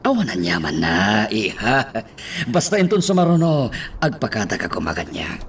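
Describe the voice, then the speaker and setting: A man's voice: lowish voice, huge pitch swings, moderate pace, a little hiss or hum. A man with a Filipino accent playing a protective, concerned middle-aged father in a radio drama. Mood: concerned, admonishing.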